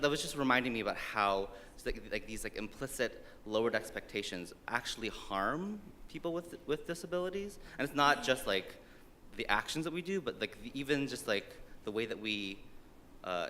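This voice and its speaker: A man commenting from the audience, halting and hesitant, often searching for words and saying like. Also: mid-range voice, lively intonation, sharp tone. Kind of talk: unscripted comment from the floor. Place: large conference hall, audience floor. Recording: hall reverb, faint background noise.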